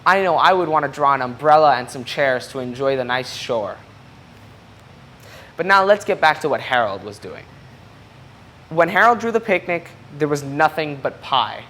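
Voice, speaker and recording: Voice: mid-range voice, expressive, normal speed, a bit bright. A person reading a children's picture book aloud expressively, explaining things and putting questions to young listeners. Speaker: storybook reader.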